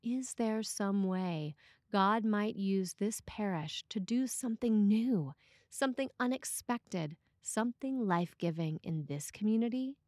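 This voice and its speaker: A woman priest reading a scripted sermon in a measured, deliberate way. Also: medium pitch, darkish tone, dry recording, studio quality.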